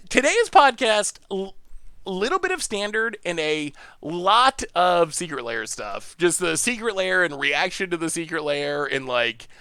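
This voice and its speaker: A man from upstate New York, hosting a live game stream and chatting with viewers in an excitable, laughing way. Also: high-pitched, vocal fry, clear, resonant tone, no room sound, clean studio sound. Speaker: streamer host.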